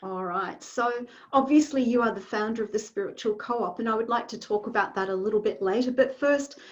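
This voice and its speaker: A woman's voice: fairly high pitch, brisk, highs cut off. A woman interviewing a guest in a casual chat, asking her questions in a playful, teasing way.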